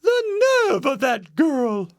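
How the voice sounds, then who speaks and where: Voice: sits high, wildly swooping pitch, slow, vocal fry, dry recording, studio quality, voice pushed up, exaggerated voice. A storyteller voicing an indignant, scolding mother bear in a children's storybook tale. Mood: offended.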